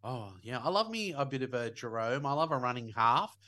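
Jokey man, bright voice. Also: medium pitch, a little fast. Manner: jokey, animated, laughs often. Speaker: podcast co-host. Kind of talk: casual sports chat.

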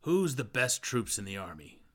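A man's voice: medium pitch, big pitch swings, moderate pace. A man voicing a grizzled old sailor, blunt, peeved and proud, in a literary audiobook. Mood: irate, proud. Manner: blunt, irate.

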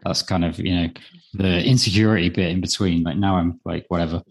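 A man's voice: low-pitched, steady tone, a little fast, vocal fry, slightly rough. A man in his late thirties co-hosting a podcast, chatting casually in a hesitant, self-deprecating way that often trails off. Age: late 30s. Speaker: podcast co-host. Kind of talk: casual chat.

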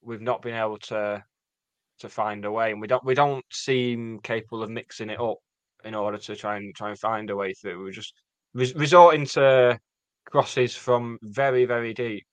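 Co-host, rambling and excitable, dry recording. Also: male voice, normal speed, no echo. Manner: rambling, pitch jumps up and down. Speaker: podcast co-host. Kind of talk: casual chat.

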